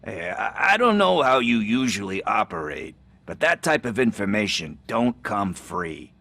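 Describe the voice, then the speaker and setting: A wry, streetwise informant for a video game. His voice sits very low. He talks casually and glibly, hesitating as he goes, slippery yet likeable. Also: very animated, sharp tone, no room sound, exaggerated voice. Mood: wry, evasive. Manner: glib, hesitant, casual drawn-out phrasing. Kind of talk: video game cutscene acting.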